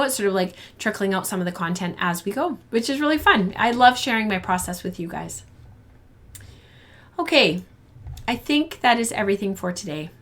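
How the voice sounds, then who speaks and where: A woman hosts a live fiber arts stream, talking casually to her viewers. She rambles a little, with frequent ums and false starts. Her voice sounds tired and a bit hoarse. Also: medium pitch, fairly bright tone. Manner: chatty, rambling, lots of ums and restarts. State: losing her voice. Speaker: podcast host of a fiber arts show.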